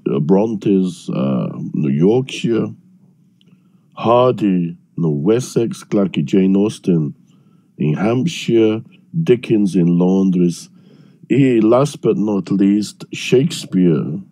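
Older english guy on a headset video call, hoarse, slow and halting, low dark voice, dry sound. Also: lively intonation, dry recording. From England, Birmingham. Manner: slow, halting, searching for words. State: hoarse from illness. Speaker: panelist, professor. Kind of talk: online panel talk. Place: home room with bookshelves.

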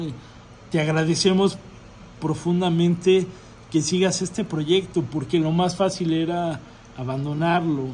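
A man's voice: on the high side, a little mellow, rough recording. A man with a Mexican accent hosting an informal interview in an effusive, rambling way. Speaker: host interviewer of a city history channel. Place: restaurant dining room, indoors. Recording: background noise, restaurant room ambience.

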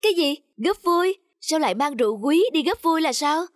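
A woman's voice: high-pitched, animated, a little fast, no echo, studio quality. A woman voicing a shrewd, stingy village wife with a scolding manner in a folk-tale cartoon. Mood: indignant, scheming. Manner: scolding, sharp-tongued. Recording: music behind the voice.

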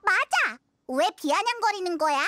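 A woman voicing a small, excitable, childlike fairy companion in a video game, squeaky and indignant. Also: moderate pace, no echo. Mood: eager, doubtful. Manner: indignant, exclamatory. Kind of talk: game character dialogue. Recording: music behind the voice.

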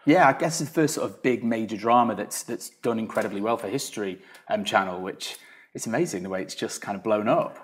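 A man's voice: lowish voice, fairly quick. Chatty male interviewer with tag questions. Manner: chatty, ends questions with tag questions, laughs often. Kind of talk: magazine interview. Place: bright indoor studio room.

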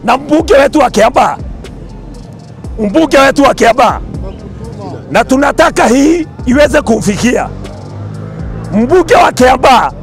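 High-voiced Kenyan man shouting at a protest. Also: expressive, measured pace, pauses often, soft background music, a faint crowd. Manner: forceful, shouting at times. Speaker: protest spokesman. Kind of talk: impassioned street speech. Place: outdoor street protest in a crowd. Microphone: handheld mic held up to him.

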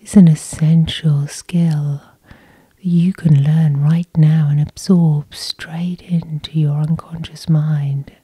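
Female hypnosis guide. Airy, barely voiced whisper, slow and soothing, recorded close and dry. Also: low-pitched, level tone, mellow, breathy edge. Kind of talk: whispered sleep hypnosis, ASMR guided meditation.

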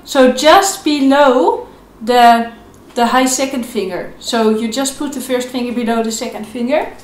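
A young woman with a fairly high voice teaches in an echoey room. She explains informally, step by step, and repeats her key points encouragingly. Some violin is heard around her speech. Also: measured pace, over music. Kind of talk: instructional video lesson, explaining informally. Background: violin playing between phrases.

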